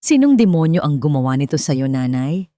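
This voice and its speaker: A woman's voice: low voice, big pitch swings, moderate pace, warm tone, no echo, studio quality. Woman voicing an earnest, protective poor scavenger. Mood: alarmed. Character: poor kind-hearted scavenger out in a storm.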